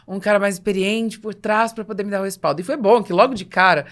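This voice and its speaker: A Brazilian woman hosting a podcast interview, telling personal anecdotes in an animated way and acting out dialogue. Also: medium pitch, fast talker. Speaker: podcast host and business coach.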